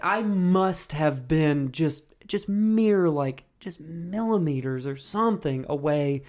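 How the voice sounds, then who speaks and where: A man's voice: a little high, animated, slow pace, darkish tone. Crude, self-mocking podcast co-host man. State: sore and recovering from illness. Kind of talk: casual chat.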